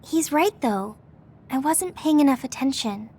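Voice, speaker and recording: A woman's voice: high voice, lively intonation, measured pace, darkish tone. A woman voicing an earnest, self-doubting teenage girl soldier in an anime-styled video game, hesitant and sincere. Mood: downcast.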